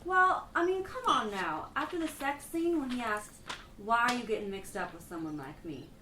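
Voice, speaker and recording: A woman's voice: on the high side, expressive, moderate pace, sharp tone. A woman acting as an earnest, gushing, oversharing student editor in a satirical radio play. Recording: distant echoey room, faint noise.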